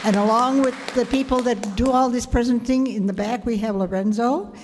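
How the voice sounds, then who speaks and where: A woman's voice: fairly high pitch, steady tone, normal speed. A woman giving impromptu remarks to an audience as a member of a theater group. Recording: echoey hall, loud audience and noise.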